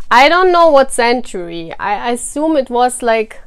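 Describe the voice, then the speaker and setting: A woman's voice: on the high side, smooth. A woman whose first language is German, chatting casually to her viewers as a tarot reader, laughing often between sentences. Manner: chatty, laughs often, warm encouragement to fans. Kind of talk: casual chat to camera.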